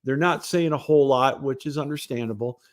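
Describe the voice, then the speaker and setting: A man's voice: mid-range voice, brisk. Rambling conversational male sports show host. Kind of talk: casual solo sports broadcast monologue.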